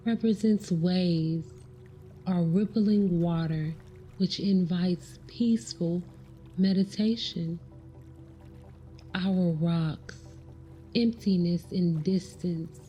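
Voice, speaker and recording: Woman, meditation guide, very slow pace, lowish pitch, measured short phrases with pauses, music behind. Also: dark tone, muffled recording.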